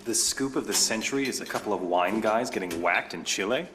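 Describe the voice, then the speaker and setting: A man's voice: fairly low pitch, a little fast, background noise, natural voice. An actor playing a skeptical, wry male journalist in a video game, with a dry, casual, incredulous manner. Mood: dry, doubtful. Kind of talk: dramatic video game cutscene dialogue. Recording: music behind the voice.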